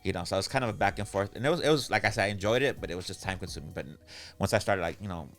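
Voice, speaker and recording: A man's voice: lowish voice, rapid-fire, fairly bright tone, faint music behind. A man hosting a podcast, chatting in a laid-back, slangy, rambling way. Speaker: podcast host. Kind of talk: casual interview chat.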